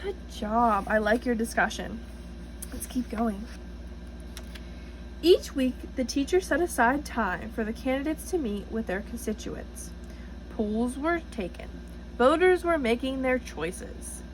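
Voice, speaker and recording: Female read-aloud teacher, very animated intonation, addresses children directly, slight background noise. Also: fairly high pitch, unhurried, low-quality recording. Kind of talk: storybook read-aloud with questions to young listeners.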